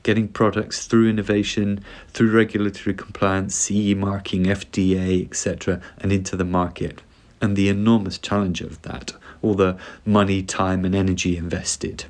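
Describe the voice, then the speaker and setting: A man hosting a podcast, reading a smooth scripted introduction and asking interview questions. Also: deep voice, flat delivery, moderate pace, darkish tone. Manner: smooth, read-aloud delivery. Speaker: podcast host. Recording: music bed behind the voice. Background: music.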